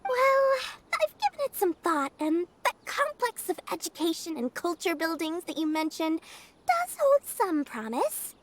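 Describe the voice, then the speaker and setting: A woman's voice: very high voice, sing-song, measured pace, full character voice. A woman voicing a shrewd, smug, childlike girl merchant in a video game, theatrical, haughty and teasing. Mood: smug, scheming. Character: shrewd, smug young female merchant, childlike and money-minded. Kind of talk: video game character acting.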